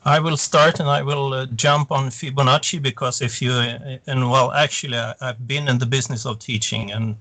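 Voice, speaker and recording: A Swedish man on a video call, speaking halting English and searching for words. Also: mid-range voice, steady tone, fairly bright tone, no echo, poor audio. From Sweden. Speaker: guest, former teacher.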